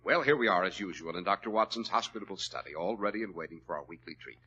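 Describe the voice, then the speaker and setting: A man announcing and reading sponsor copy on a 1940s radio show, brisk and salesy. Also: sits low, lively intonation, muffled recording, music in the background. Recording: old radio broadcast recording.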